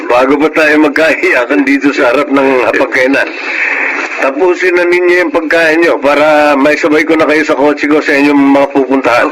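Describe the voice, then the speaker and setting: An actor playing a generous, responsible eldest brother in a radio drama, speaking with affectionate assurance. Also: male voice, fairly high pitch, lightly acted, rough recording. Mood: affectionate, brisk. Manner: affectionate big-brotherly talk.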